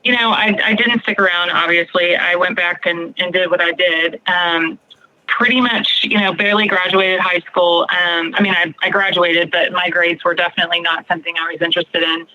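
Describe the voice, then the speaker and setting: A woman's voice: lowish voice, steady tone, moderate pace, bright, forceful tone, highs cut off. A woman in her forties from Texas with an American accent, telling her personal story conversationally as a podcast guest. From Texas, United States. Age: 40s.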